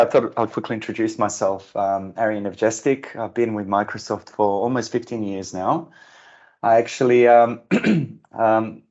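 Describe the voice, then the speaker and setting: Bosnian-accented man in his late 30s, lowish voice, relaxed rambling intro, muffled call audio. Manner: rambling, many ums and uhs. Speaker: software engineer at a tech company. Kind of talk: casual self-introduction on a video call. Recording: dull lo-fi video call audio.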